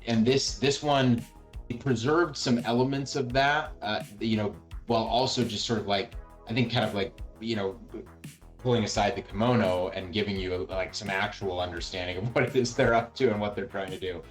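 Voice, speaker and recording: A man giving his opinions as a reviewer in casual chat, halting as he thinks aloud. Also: lowish voice, fairly even intonation, faint music behind. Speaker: co-host or guest reviewer.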